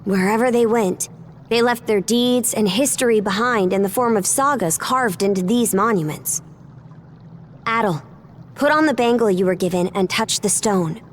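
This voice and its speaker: An actress playing a resolute young seafaring adventurer in a fantasy game, with a brisk, matter-of-fact delivery. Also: female voice, medium pitch, a little hiss or hum, natural voice. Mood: thoughtful, explanatory. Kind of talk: video game cutscene voice acting. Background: music.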